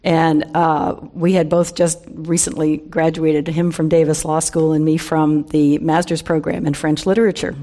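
A woman's voice: sits low, level tone, moderate pace, few pauses, warm tone. An American woman in her seventies giving an informal, humorous talk to a live audience, with conversational storytelling, wry asides and emphasis on key words. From San Francisco Bay Area, USA. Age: 70s. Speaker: guest speaker, wine industry veteran.

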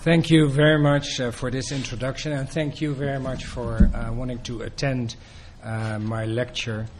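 A man's voice: mid-range voice, level tone, normal speed, heavy background noise. Dutch-accented man giving a lecture. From Netherlands. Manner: hesitant, many filler sounds. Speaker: guest speaker. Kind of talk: public lecture.

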